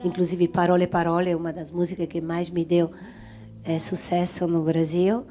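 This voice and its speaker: Singer guest, woman, lowish voice, eager and searching for words, faint music behind. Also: little pitch movement, normal speed, warm tone. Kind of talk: interview answers.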